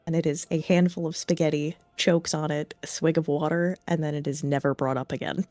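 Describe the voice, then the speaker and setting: Fast, deadpan speedrun co-commentator. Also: mid-range voice, dry recording, soft background music. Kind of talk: casual joking game commentary.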